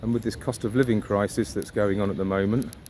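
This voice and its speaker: Low-voiced man, musing outdoors. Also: fairly even intonation, quick pace, darkish tone. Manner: musing, with rhetorical questions. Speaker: vlogger. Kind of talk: casual reflective monologue while walking. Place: outdoors on a riverside walk. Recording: outdoor ambient noise, birds and traffic.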